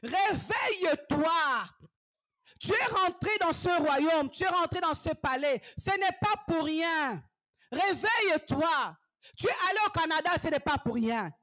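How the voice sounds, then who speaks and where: Woman evangelist, slow deliberate delivery, fervent sermon with hushed and shouted passages. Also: extremely high pitch, animated. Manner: fervent preaching, swings from hushed whispers to shouted exhortations. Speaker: evangelist preacher.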